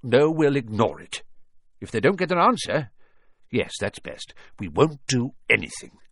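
Man playing a blustering, bullying uncle, barking loudly. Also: mid-range voice, sing-song, unhurried, slightly rough, dry recording, clean studio sound, voice pushed up, heavily acted. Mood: agitated. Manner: barking, sneering, snapping. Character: blustering, bullying middle-aged uncle, quick to roar.

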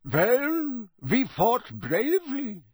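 A man's voice: very high-pitched, huge pitch swings, drawn-out words, husky, no echo, clean studio sound, voice pushed up, exaggerated voice. A man voicing a gloomy, wry foreign government minister in a storybook, with a shrugging manner. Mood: wry gloom.

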